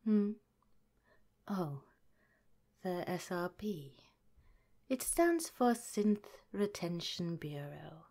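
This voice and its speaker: A woman voicing a calm, caring woman scientist director in an ASMR roleplay, speaking gently and politely in a soft, whispery way. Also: mid-range voice.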